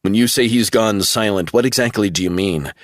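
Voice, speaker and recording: A man voicing a steady, matter-of-fact man who talks a grieving friend through a crisis. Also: fairly low pitch, a little fast, dry recording, clean studio sound, close to an everyday voice. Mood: calm concerned. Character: steady, blunt adult man, concerned friend.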